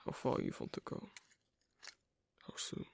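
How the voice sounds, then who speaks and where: A man playing a guarded, flirtatious loner werewolf in an ASMR roleplay, speaking hesitantly and teasingly in a breathy, husky near-whisper. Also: a little slow, darkish tone, slight background noise, lightly acted. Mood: tentative, flirtatious. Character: gruff, guarded, flirtatious asocial werewolf man on a first date. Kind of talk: ASMR roleplay, intimate one-sided dialogue.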